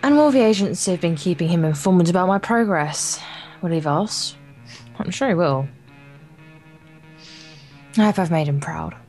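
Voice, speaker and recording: Young woman reading a game aloud, lowish voice. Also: expressive, moderate pace, pauses often, a little mellow, faint music behind. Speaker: let's play co-host reading a visual novel aloud. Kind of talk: reading game text aloud with casual banter.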